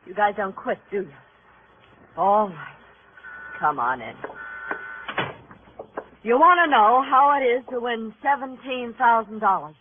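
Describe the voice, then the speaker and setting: An actress playing a bitter, tough widow in a 1950s radio crime drama, sardonic and defensive, with an American accent. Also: female voice, on the high side, lively intonation, measured pace, pauses often, a little hiss or hum. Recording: muffled, narrow vintage radio sound.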